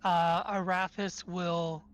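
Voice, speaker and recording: Hoarse tabletop player, slow, halting, filler-heavy. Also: medium pitch, little pitch movement, crowd noise. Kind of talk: playing a tabletop game.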